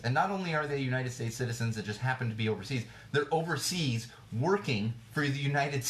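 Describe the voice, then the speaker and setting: A man hosting a talk show, giving blunt, indignant commentary on the news, repeating phrases for emphasis, and a little hoarse as he loses his voice. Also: mid-range voice, roomy sound, slight background noise. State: slightly hoarse, losing his voice. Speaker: podcast host. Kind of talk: opinionated news commentary rant.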